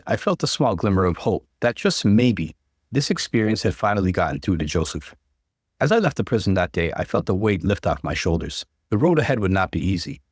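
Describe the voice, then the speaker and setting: Expressive low-voiced male story reader. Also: dry recording, studio quality. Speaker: story narrator. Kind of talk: reading an online story aloud.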